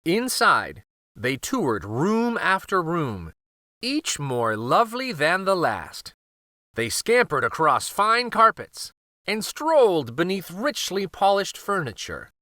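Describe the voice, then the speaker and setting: Animated, bright-voiced male children's storyteller. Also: sits high, slow pace, no echo, studio quality. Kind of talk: scripted storybook read-aloud narration.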